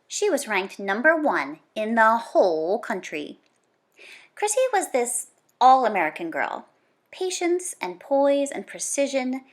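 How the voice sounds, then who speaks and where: A woman reading a children's book aloud like a teacher, in an animated, conversational way with emphatic stress on repeated words. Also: fairly high pitch.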